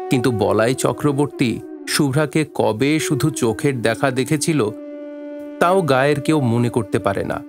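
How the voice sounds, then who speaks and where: A male storyteller voiced by a man narrates a village murder mystery in a mid-pitched voice. His delivery is literary and dramatic, with soft music underneath, as in an audio drama. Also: lightly acted.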